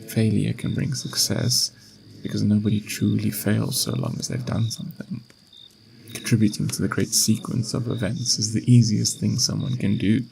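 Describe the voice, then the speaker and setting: Man playing a creaky-voiced, mysterious ageless watcher. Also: lowish voice, a little hiss or hum, poor audio. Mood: gentle, wistful. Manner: unhurried, philosophical, softly reassuring. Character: mysterious ageless lingerer at time's end, patient and gentle. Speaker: ASMR roleplay performer. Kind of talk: ASMR roleplay, slow intimate monologue.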